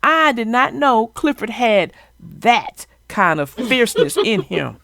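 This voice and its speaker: A woman hosting a children's story discussion, talking conversationally in an expressive, emphatic way. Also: a little high, takes it slowly, gravelly, dry recording, clean studio sound. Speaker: host of a children's story channel.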